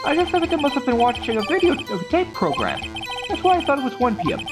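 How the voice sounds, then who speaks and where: Woman putting on a high, flustered male witness. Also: wildly swooping pitch, fast talker, over music. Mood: overeager, apologetic. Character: nervous, shifty male witness, flustered and excitable.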